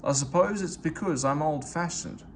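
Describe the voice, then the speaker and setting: Wistful old traction engine, guy reading it, gentle, kinda high voice. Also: moderate pace, slight background noise, natural voice. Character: sad, proud old male traction engine.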